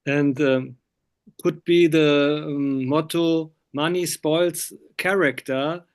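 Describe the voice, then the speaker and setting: German guy doing a film interview, slightly high clean voice, feels his way through english. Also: fairly even intonation, clear, resonant tone. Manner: halting, hesitant non-native English. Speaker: interviewer, film critic and magazine publisher.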